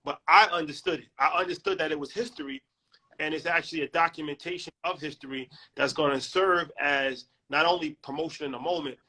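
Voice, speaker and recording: A man hosting a casual conversation, telling stories in animated, quick bursts. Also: on the high side, rough recording. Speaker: podcast host.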